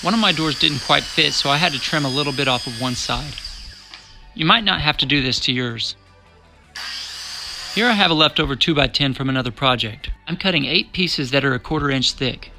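A man narrating a woodworking build over faint background music. His delivery is plain and measured, walking through each cut and measurement as a practiced how-to voice-over. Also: mid-range voice. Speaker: DIY woodworking instructor. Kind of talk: scripted step-by-step voice-over narration.

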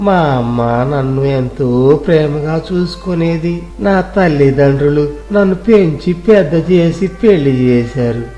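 A man's voice: on the high side, expressive, a little slow, dark tone. A man plays a forsaken old village beggar, shivering and lamenting in a moral tale. The plaintive voice rings with room echo. Music runs faintly underneath. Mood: bitter, grieving. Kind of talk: acted audio moral story. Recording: echoey room sound, music behind.

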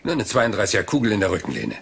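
A rumpled, scatterbrained inspector in a crime drama. His low voice swings about expressively as he rambles and hesitates, always deferential and seemingly naive. There is faint noise behind him. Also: huge pitch swings, racing, vocal fry, exaggerated voice. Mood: puzzled, probing. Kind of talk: German crime drama dialogue.

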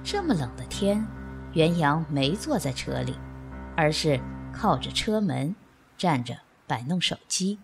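A woman's voice: low-pitched, very animated, a little slow, gravelly, exaggerated voice. A woman delivering scripted narration for an audio drama. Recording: music under the narration. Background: music.

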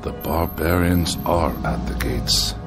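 A man's voice: deep voice, fairly even intonation, takes it slowly. An actor in a radio drama playing a pompous, irascible older man with haughty, florid diction. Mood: haughty, irritated. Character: pompous, irascible older man who despises machines and people. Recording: radio drama with music behind.

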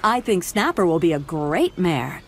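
A woman's voice: lowish voice, very animated, measured pace, some chatter behind, a little hiss or hum. A woman playing a confident, principled superheroine in a video game cutscene, earnest and resolute. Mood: friendly, earnest. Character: confident, principled adult superheroine leading her team.

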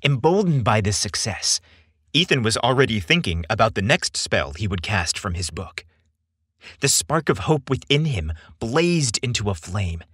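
A man narrating a scripted story as a podcast storyteller, with measured, theatrical and deliberate phrasing. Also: lowish voice, big pitch swings, a bit bright, breathy edge, dry recording, clean studio sound.

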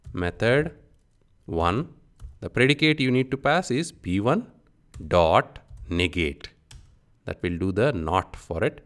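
Deep-voiced man teaching programming step by step. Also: fairly bright tone, smooth. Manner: instructional, clipped short phrases. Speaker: programming instructor. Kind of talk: step-by-step software tutorial, dictating as typing.

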